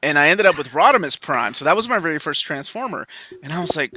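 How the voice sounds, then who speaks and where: A man's voice: a little high, sing-song, fast talker, bright, forceful tone. A man in his forties from Peru, hosting a podcast and telling stories in casual chat. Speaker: podcast host.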